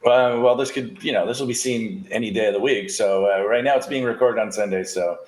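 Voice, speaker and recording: A man's voice: fairly low pitch, fairly quick, a little mellow. A man co-hosting a casual, unscripted political discussion, with a wry way of slipping in quips. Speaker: co-host of a political discussion show. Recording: roomy, echoey sound.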